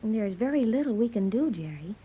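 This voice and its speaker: Young woman, innocent, stilted precise speech, breathy lilting delivery, 1930s radio serial, dull old recording. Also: fairly high pitch, very animated, a little fast. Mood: grave, instructive. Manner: precise, formal, stilted diction. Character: innocent, literal-minded young island-raised woman.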